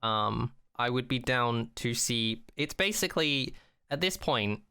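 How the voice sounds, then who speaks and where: A man co-hosting a podcast, chatting casually and opinionatedly, acting out mock quotes in an animated way and swearing freely. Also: mid-range voice, measured pace, clean tone. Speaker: podcast co-host. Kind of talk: casual chat, opinionated rant about a TV show.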